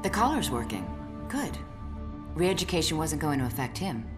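An actress playing a caring, direct warrior woman in a video game cutscene, speaking softly and earnestly. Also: low voice, sing-song, moderate pace. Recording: roomy reverb with music.

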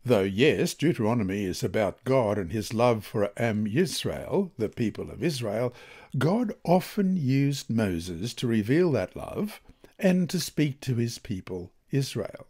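A man reads a scripted Bible lesson and prayer in a measured, reverent manner with deliberate phrasing. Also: medium pitch, big pitch swings, a little mellow, husky. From Australia. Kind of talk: scripted devotional narration and prayer.

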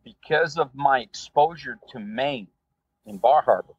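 A Brazilian man, Portuguese his first language, speaks English with a husky voice. He rambles casually with lots of fillers. The sound is dry and a little dull. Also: medium pitch, unhurried, no room sound. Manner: relaxed, rambling, many fillers. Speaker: rideshare driver guest. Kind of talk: casual interview storytelling.

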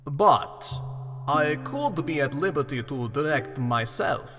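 Old vampire count, formal and bossy, really slow, music behind, radio play. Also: male voice, fairly high pitch, lively intonation. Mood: courteous, calculating. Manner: formal, deliberate, halting phrasing. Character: courteous, commanding old Transylvanian count, a vampire nobleman. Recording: long hall-like reverb, music underneath. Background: plucked guitar music.

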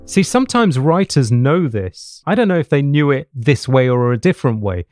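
A man's voice: medium pitch, lively intonation, moderate pace, a little mellow. A middle-aged man giving an informal piano lesson, thinking aloud and explaining step by step with short check-in questions, close on a studio mic. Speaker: piano teacher, host of online jazz lessons. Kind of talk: informal spoken music lesson, thinking aloud while demonstrating. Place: small home study with bookshelf, indoors. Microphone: studio mic on boom arm close to the mouth. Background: piano playing.

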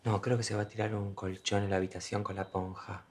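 A man's voice: lowish voice, little pitch movement, fast talker, natural voice. Man playing a coaxing, friendly young man. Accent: Rioplatense Spanish. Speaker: actor in a TV comedy series. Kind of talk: TV comedy drama acting.

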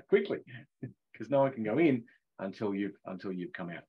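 Man, middle-aged, hockey coach, husky voice, chatty explaining, muffled lo-fi call audio. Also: lowish voice, lively intonation, normal speed. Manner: chatty explainer who laughs at his own jokes. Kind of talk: online rules session. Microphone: video call. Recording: dull lo-fi video call audio.

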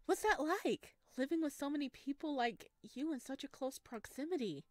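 A woman voicing a gentle, sheepish male forest creature in a visual novel, speaking softly and with naive curiosity. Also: high-pitched, expressive, normal speed, no room sound, studio quality, pitched above the performer's own voice. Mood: curious, unsure. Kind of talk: visual novel read-aloud.

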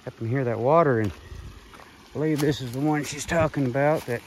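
Male outdoor vlogger, medium pitch, winded from walking, casual explorer narration, noisy handheld recording. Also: moderate pace, a little mellow. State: out of breath from walking. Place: outdoors in woods and hillsides.

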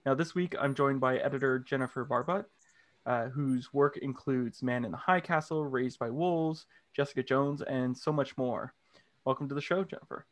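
A man in his thirties hosts an interview show, speaking into a studio condenser mic at home. His voice sits mid-range, and his questions come out in friendly, halting restarts. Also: medium pitch. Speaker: host. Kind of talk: interview host, scripted intro then casual questions. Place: home room, indoors. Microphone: large studio condenser mic with headphones.

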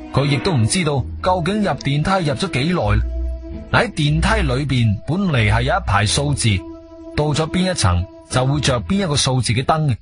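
A man with a Guangzhou Cantonese accent narrating a radio drama as a conversational storyteller, dropping in interjections. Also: on the high side. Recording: music bed behind studio voice.

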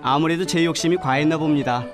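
A man narrating a travel documentary in a gentle, reflective storytelling voice. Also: on the high side, fast talker. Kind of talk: scripted voice-over narration. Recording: voice-over with music behind. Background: background music.

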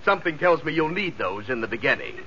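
A man's voice: a little high, sing-song, fast talker. An actor playing a fussy, sardonic clothing salesman in a 1940s radio comedy. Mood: dry, sardonic. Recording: dull, hissy old radio recording.

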